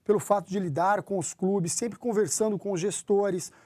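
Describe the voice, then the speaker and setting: A man from São Paulo, a football club executive answering interview questions in a measured way, often restarting his sentences. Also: sits high, fairly even intonation, warm tone, no echo. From São Paulo, Brazil.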